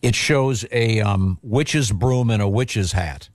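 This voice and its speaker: Lower-voiced male radio host, dry close sound. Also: slow pace, no room sound. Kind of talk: casual banter and reading listener questions.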